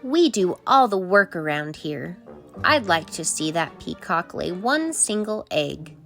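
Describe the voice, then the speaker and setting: A woman's voice: on the low side, big pitch swings, measured pace, creaky, a bit bright, soft background music, exaggerated voice. A woman voicing vain, gossipy storybook hens in a theatrical, emphatic manner. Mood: indignant grumbling. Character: vain, indignant, gossipy female farm hens.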